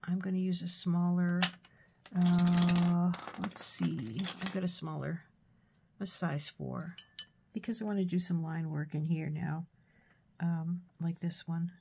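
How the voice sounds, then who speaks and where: A woman with a stuffy nose from a cold, giving a relaxed, chatty painting tutorial with fillers and soft asides. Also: on the low side, slow, creaky, slight background noise. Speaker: art tutor.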